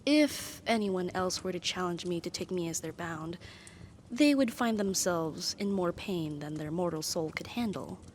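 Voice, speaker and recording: A powerful female demon, acted by a woman, addresses the listener in a stately, formal manner as a possessive and devoted servant. Her voice sits at an average female pitch, with breathy touches in an intimate ASMR roleplay. There is clear noise in the recording. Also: a little mellow, natural voice.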